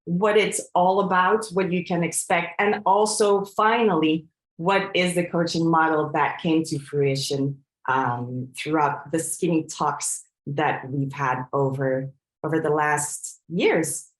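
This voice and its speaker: A woman in her forties from Belgium, a coach giving a presentation in an earnest, reflective way, often pausing on fillers and restarting her sentences. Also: sits low, fairly even intonation, echoey room, poor audio. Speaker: coach and trainer. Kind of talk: presentation to an audience.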